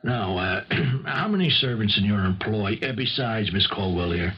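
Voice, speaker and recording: An actor playing a plodding, hesitant police lieutenant in a 1970s radio drama, methodical and slightly husky. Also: male voice, fairly low pitch, expressive. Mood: puzzled, official. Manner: halting, methodical, full of hems and haws. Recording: dull 1970s radio broadcast recording.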